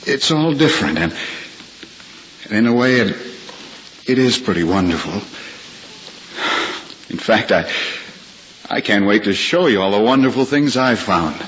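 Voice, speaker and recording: A gentle, reassuring blind lawyer in an old radio drama. His low voice moves expressively, with tender hesitations. The dated recording is muffled, with faint hiss. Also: animated, a little slow, pauses often. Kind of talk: 1950s radio drama acting.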